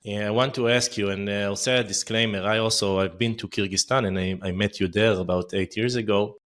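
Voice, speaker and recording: A man with a somewhat low voice hosts a travel podcast. He asks questions in a measured way, with a few hesitations as he sets each one up. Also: fairly even intonation, a bit bright. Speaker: podcast host, interviewer. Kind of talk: interview questions.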